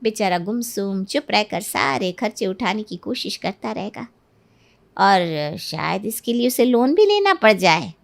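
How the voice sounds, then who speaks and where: A woman playing a smooth-talking, manipulative middle-aged mother-in-law in a Hindi cartoon story, persuasive and full of feigned concern. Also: medium pitch, very animated, measured pace. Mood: sly persuasion.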